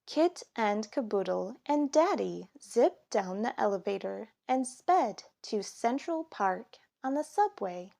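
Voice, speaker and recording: A woman reading a children's story aloud in an expressive, measured storytelling manner. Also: medium pitch, creaky. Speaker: storybook reader. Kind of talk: children's story read aloud, scripted narration.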